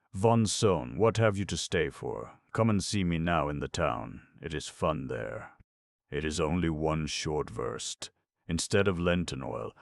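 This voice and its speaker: A man reading aloud voices a boisterous, mocking old father who shouts and jeers. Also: very deep voice, creaky, no room sound, clean studio sound, full character voice. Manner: shouting, jeering, theatrical.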